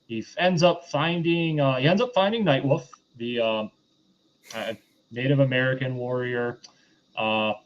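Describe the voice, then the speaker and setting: Man rambling casually, slightly muffled lo-fi sound. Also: medium pitch. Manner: rambling, halting, conversational. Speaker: podcast host reviewing a film. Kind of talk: casual, unscripted movie recap. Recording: dull, lo-fi voice recording.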